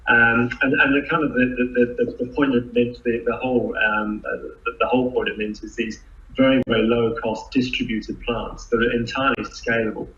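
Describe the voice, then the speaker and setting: A man who founded a startup answers interview questions, restarting sentences often and filling pauses with "uh" and "um". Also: medium pitch, level tone, fairly bright tone. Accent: non-New Zealand, foreign-born. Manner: halting, many restarts and fillers. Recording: echoey, dull lo-fi audio.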